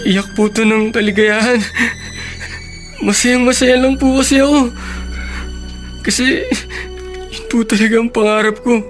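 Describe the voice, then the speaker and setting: A poor young man of radio drama, with a notably high voice. He is humble, earnest and deferential, full of feeling, over soft background music. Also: fairly even intonation, unhurried, long pauses. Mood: overjoyed, moved. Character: humble, grateful poor young working man, devoted son. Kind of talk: Filipino radio drama acting.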